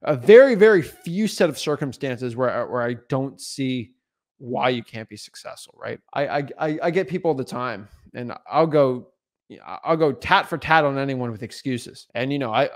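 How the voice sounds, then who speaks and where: A man's voice: mid-range voice, lively intonation, normal speed. Intense young man ranting to camera. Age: 20s. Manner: intense, repeats himself, frequent "right?" and "like". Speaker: real estate wholesaling coach. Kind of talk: unscripted motivational talk to camera. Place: small home studio, indoors. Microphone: podcast mic on boom arm in front.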